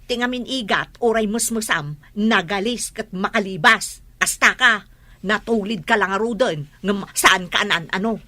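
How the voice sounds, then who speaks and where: A woman's voice: medium pitch, normal speed, pushed and bright, no room sound. A woman acting in a radio drama as a fed-up wife scolding her drunkard husband, nagging and sarcastic. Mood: scornful, scolding.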